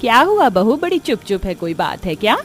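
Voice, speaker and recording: A woman's voice: fairly high pitch, very animated, fairly quick, a little hiss or hum. Woman playing a concerned middle-aged mother-in-law. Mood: caring. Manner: kindly, probing.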